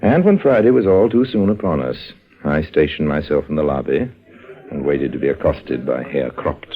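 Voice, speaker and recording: Urbane, wry con-man narrator, extremely low voice, 1950s radio acting, dull vintage recording. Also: expressive, normal speed, slightly rough, heavily acted. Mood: wry narrating. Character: suave, sardonic con-man narrator with dry wit. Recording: muffled old radio recording. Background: music.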